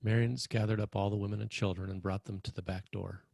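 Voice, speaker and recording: A man with a low, husky voice narrates a screenplay. He reads scene directions as scripted narration, and the sound is dry and close. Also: little pitch movement, quick pace, a little mellow, no echo. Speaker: narrator reading stage directions.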